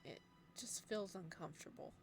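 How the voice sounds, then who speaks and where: A woman's voice: on the low side, normal speed, creaky, a little hiss or hum. A woman reading as a solemn male ice god. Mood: calm.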